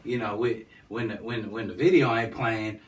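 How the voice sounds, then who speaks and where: A man's voice: steady tone, moderate pace, a little hiss or hum. A man, talking as a guest in a casual interview and rambling with constant "you know what I'm saying.". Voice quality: high-pitched voice. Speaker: guest, nonprofit founder. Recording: distant mic.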